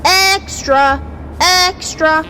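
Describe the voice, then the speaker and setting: A woman's voice: extremely high pitch, exaggerated voice. A woman acting a brash newspaper hawker who cries scandalous headlines theatrically, in an old-time radio drama. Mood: brash, excited. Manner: theatrical, drawn-out hawking. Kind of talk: old-time radio newsboy street cry.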